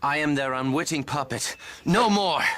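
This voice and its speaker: An actor playing a cynical, guarded young knight in a dramatic video game cutscene, with measured, formal archaic phrasing. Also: male voice, fairly high pitch, a bit bright, natural voice. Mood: bitter. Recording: music playing behind the voice.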